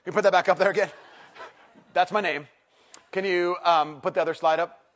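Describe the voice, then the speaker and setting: A middle-aged man preaching as a teaching pastor, conversational and self-deprecating, swinging from loud excitement to hushed intensity before a church congregation. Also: high voice, normal speed. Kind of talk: sermon.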